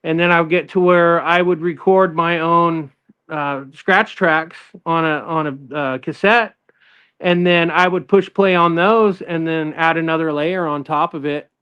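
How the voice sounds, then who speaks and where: Middle-aged guest guitarist, a man from Northern California, smooth voice, a bit high, slightly lo-fi recording. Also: fairly even intonation, unhurried. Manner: easygoing, rambling with many fillers and restarts. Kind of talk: casual interview chat.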